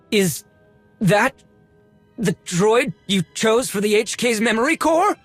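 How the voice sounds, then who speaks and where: High-voiced, fussy curator. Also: male voice, a bit bright, no room sound, full character voice. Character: fussy, self-satisfied male collector of rare curios. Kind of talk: video game voice acting.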